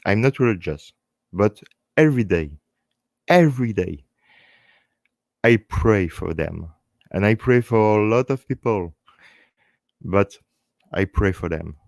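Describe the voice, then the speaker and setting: A man with a French accent and French as his first language, hosting a live stream in halting English, speaking earnestly and unscripted in short phrases with repeated words. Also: fairly low pitch, animated, gravelly, a little mellow, no echo. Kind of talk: earnest unscripted talk to online viewers.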